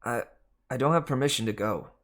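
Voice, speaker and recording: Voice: medium pitch, brisk, fairly bright tone, natural voice. A reader playing a hesitant, earnest young boy hero, plain-spoken, in a storybook reading.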